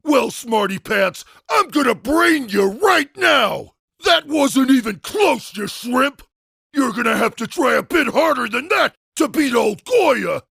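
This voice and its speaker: An actor playing a dim, bullying henchman in a cartoon video game, with gravelly, slangy tough-guy threats. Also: extremely high pitch, pushed and bright, no echo, clean studio sound, heavily acted. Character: loud, dim, bullying male henchman brute.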